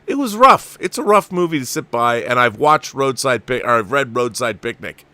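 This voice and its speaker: A man's voice: mid-range voice, lively intonation, normal speed, bright, forceful tone, dry recording. A man hosting a casual live show, chatting enthusiastically with rambling asides and reading viewer comments aloud.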